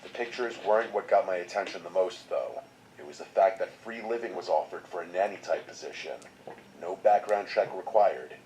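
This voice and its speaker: A man reading a first-person horror story aloud in a steady, scripted narration. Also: low voice, moderate pace, a little hiss or hum, rough recording.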